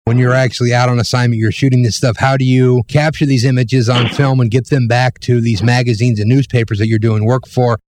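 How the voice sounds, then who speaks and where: A man's voice: mid-range voice, level tone, a little fast, hardly any pauses, darkish tone, dry recording. A man hosting an interview in a casual, conversational way, with informal, rambling questions. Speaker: interviewer, host.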